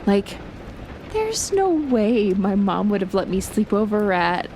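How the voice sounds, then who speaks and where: A woman's voice: mid-range voice, very animated, slow pace, a little mellow. A young woman playing a shy, playful best friend in an intimate audio roleplay, talking casually and hesitantly. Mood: playful, nostalgic. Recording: rain and thunder noise behind voice. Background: rain and thunder.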